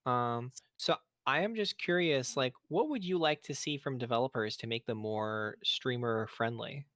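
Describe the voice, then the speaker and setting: Mid-pitched man with dry sound. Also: moderate pace, fairly bright tone, dry recording. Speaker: audience questioner, game developer. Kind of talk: polite question at a panel.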